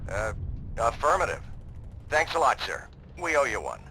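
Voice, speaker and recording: An actor playing a special forces trainee talking in clipped military comms in a video game. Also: male voice, mid-range voice, huge pitch swings, normal speed, bright, forceful tone, slight background noise, heavily acted. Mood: respectful, grateful. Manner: clipped military radio talk.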